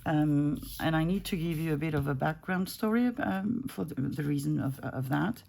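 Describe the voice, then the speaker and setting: A woman's voice: low-pitched, moderate pace, creaky, mellow. A woman in her fifties chatting casually at her home craft desk while she works, rambling and breaking off mid-thought. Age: 50s. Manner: rambling, self-interrupting, easygoing. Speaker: junk journaling crafter.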